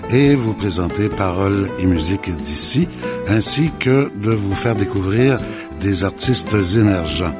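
Deep radio guy plugging his show, music blasting behind. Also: expressive, unhurried. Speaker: radio host. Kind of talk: station promo.

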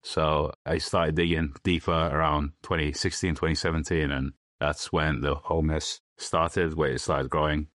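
A man's voice: rumbling low, flat delivery, moderate pace, hardly any pauses, a bit bright, dry recording, studio quality. A man, giving a personal account as a guest in an interview. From London, England. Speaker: podcast guest.

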